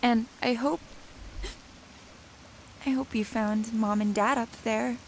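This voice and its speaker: A woman playing a grieving young woman in an audio drama, speaking casually, tenderly and haltingly, with a wry edge. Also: a little high, fairly even intonation, a little hiss or hum. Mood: tearful, tender. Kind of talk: naturalistic audio drama acting.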